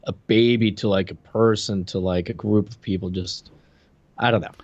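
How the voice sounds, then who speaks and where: A man's voice: lowish voice, fairly even intonation, moderate pace, a little mellow, no room sound. A man in his thirties gives blunt, casual opinions as a podcast co-host, often hedging them. The sound is dry, with no room to it, and a little dull and lo-fi. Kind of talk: casual critical chat. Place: indoor room, video call.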